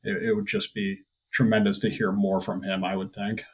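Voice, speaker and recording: A man's voice: fairly low pitch, flat delivery, moderate pace, slightly rough. A man in his thirties, a vendor guest, talking earnestly with many restarts. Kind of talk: casual chat.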